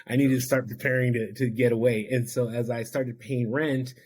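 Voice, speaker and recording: A man whose first language is Spanish talks as a guest on a podcast about his own past. He is an addiction counselor, and he speaks in an earnest, reflective, heartfelt way. His voice sits at an ordinary middle pitch for a man. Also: flat delivery, moderate pace, a little mellow, breathy edge. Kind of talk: podcast interview, personal storytelling.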